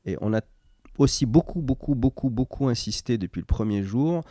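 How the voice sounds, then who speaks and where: A man's voice: medium pitch, lively intonation, normal speed, warm tone, dry recording, studio quality. A man from Morocco, a company founder, answering interview questions in a hesitant way, with many fillers and restarts.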